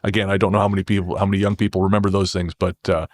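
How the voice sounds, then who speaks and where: A man's voice: low voice, flat delivery, rapid-fire, no echo, clean studio sound. A man talking conversationally as a podcast guest, often repeating and rephrasing as he goes. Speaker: podcast guest, horror fiction writer. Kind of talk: conversational interview talk.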